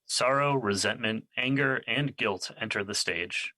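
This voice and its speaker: A man's voice: medium pitch, a little slow, sharp tone, no room sound, poor audio. A man playing the narrator of an audio drama, reading scripted stage directions. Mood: neutral narration.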